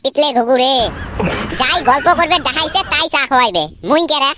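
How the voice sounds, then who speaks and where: A man voicing a mocking, sceptical young village fellow in a comedy cartoon, bantering sarcastically in a northern Bengali Rangpur accent with a pitched-up filter. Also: brisk, noisy background. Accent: northern Bengali (Rangpur region).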